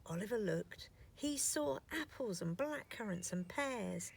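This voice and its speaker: A woman narrates a children's story with expressive, deliberate phrasing. Her pitch moves in lively swoops around a medium register. There is slight noise in the recording. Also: gravelly.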